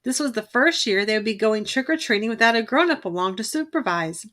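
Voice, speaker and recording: A woman reading a children's picture book aloud in an expressive storytelling style. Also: fairly high pitch, fairly quick. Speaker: storybook reader.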